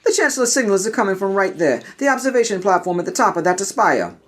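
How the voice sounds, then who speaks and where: High squeaky plumber hero, fretting and exclaiming, cartoony audio play voice, super swoopy. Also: male voice, very animated, fast talker, a bit bright. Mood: urgent. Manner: exclamatory, sing-song. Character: excitable Italian-accented plumber Jedi master, falsetto, fretful.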